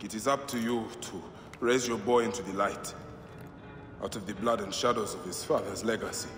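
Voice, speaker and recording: An actor playing a grave, determined warrior avenger of ancient Egypt in a video game, speaking in a solemn, measured way. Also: male voice, fairly low pitch, steady tone, close to an everyday voice. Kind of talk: dramatic video game acting. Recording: echoing hall with music behind.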